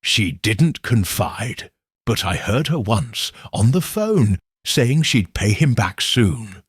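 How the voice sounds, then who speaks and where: A man voicing a frightened, reluctant young woman witness, speaking timidly and quietly in a mystery story. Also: lowish voice, sing-song, slow pace, slightly rough, dry recording, studio quality, full character voice. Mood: nervous. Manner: timid, hushed, hesitant.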